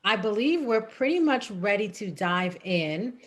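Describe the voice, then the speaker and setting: A woman's voice: mid-range voice, animated, unhurried. Female moderator, chatty and friendly, frequent ums and restarts, on a video call. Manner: friendly, filler-heavy, repeats herself. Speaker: forum moderator. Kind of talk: moderating a candidate forum.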